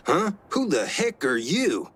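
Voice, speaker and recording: A man's voice: fairly high pitch, wildly swooping pitch, slow pace, fairly bright tone, full character voice. A man voicing a menacing, thuggish robber goon in a video game. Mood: threatening. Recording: clear background noise.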